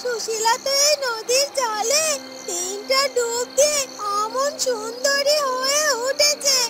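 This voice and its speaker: A bossy, greedy young stepsister from a fairy tale cartoon, voiced by a woman. She is extremely high-pitched, whiny and demanding. Music runs clearly underneath. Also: slow. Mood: scheming, excited.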